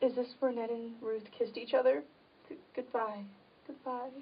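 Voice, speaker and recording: A high, earnest voice, a woman acting a timid young woman desperately in love in a stage drama. She sounds pleading and devoted, picked up dully from some distance. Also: level tone, normal speed, slightly rough. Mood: tender, subdued. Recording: dull, distant microphone.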